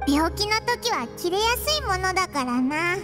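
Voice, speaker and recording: A woman voicing a tiny, excitable fairy companion who talks bluntly with boyish endings, as a game character. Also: extremely high pitch, normal speed. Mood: chirpy. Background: game music.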